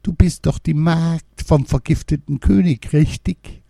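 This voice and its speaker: A man voicing a mysterious, wise old woman who speaks high and slowly. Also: steady tone, vocal fry, mellow, breathy edge, no room sound, clean studio sound, full character voice. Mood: knowing.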